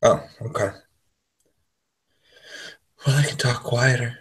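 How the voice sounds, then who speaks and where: A man's voice: mid-range voice, slow, slightly rough. A man co-hosting a casual radio show with joking banter, talking into a computer's built-in microphone in a big empty room. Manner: wisecracking.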